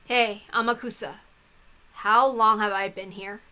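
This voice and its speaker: A woman's voice: medium pitch, fairly even intonation, a little slow, bright, forceful tone, clear, resonant tone. A woman voicing a troubled but defiant young story heroine with an earnest, questioning manner. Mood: confused.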